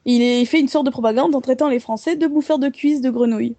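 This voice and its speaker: A woman's voice: high-pitched, quick pace, mellow, no room sound. A woman co-hosting a casual group podcast, rambling with many fillers. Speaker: podcast co-host.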